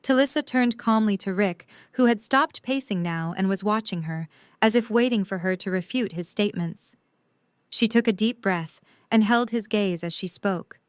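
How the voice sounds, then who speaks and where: A woman's voice: mid-range voice, normal speed, clear, resonant tone, dry recording, studio quality. A woman reading scripted third-person story narration for an audio drama.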